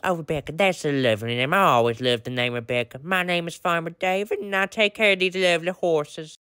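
A woman's voice: on the low side, fairly quick, fairly bright tone, smooth, dry recording, studio quality, close to an everyday voice. A woman voicing a chatty, friendly male horse farmer with a Southern accent in a pretend-play story, folksy and effusive. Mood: chatty, welcoming.

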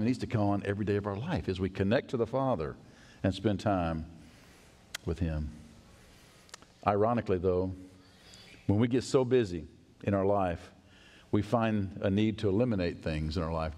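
A middle-aged man preaching a sermon as pastor in a large church sanctuary, animated, acting out hurried voices and dropping to a hush. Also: lowish voice. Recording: long church reverb.

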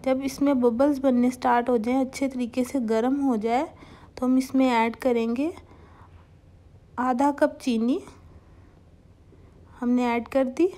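A young woman hosting a home cooking channel, calmly talking viewers through a recipe step by step and mixing English cooking terms into her speech. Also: sits high, measured pace, pauses often. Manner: calm, instructive, mixes English cooking terms into Hindustani speech.

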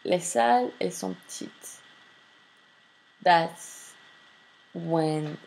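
Slow gravelly woman teacher. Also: on the low side, a little mellow, slight background noise, rough recording. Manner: reads French lines then translates, slow and deliberate. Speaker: French teacher. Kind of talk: screen-recorded textbook walkthrough.

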